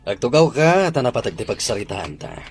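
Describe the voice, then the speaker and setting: A man's voice: mid-range voice, very animated, a little fast. A man playing an authoritative, fatherly school principal in an audio drama. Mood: formal.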